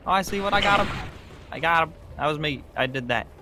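A young man chatting excitedly through an improvised game roleplay, often repeating short phrases. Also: fairly high pitch, fast talker, noisy background. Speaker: gamer in a roleplay series.

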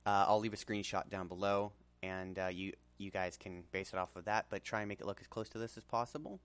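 A man presenting a casual software tutorial in an informal, hesitant way. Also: lowish voice, brisk, clear, resonant tone.

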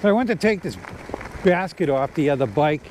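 A man's voice: on the high side, expressive, brisk, a little mellow. A man in his fifties talking casually while riding an e-bike on an outdoor trail, rambling with lots of fillers and restarts into a clip-on mic. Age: 50s. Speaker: e-bike vlogger. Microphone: corded clip-on mic. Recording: faint outdoor noise from riding.

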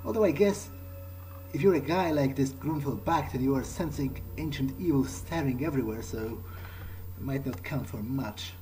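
A man playing a cocky vampire in a game, thinking out loud in a halting, sardonic way. The pitch is a medium male one, and there is clear noise and game ambience behind the voice. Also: natural voice, poor audio. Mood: musing. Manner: musing, halting, muttered asides. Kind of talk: in-character video game playthrough monologue.

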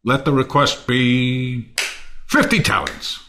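A man playing a proud, generous, naively trusting Athenian lord in a Shakespeare audio play, declaiming theatrically with sudden hushed drops. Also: mid-range voice, expressive, slow pace, noisy background. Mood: confident, commanding.

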